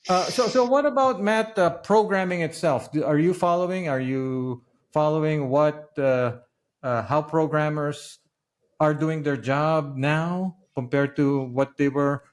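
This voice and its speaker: A man from the Philippines hosting a podcast in casual interview chat, halting and often repeating the start of his phrases. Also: a little high. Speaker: podcast host.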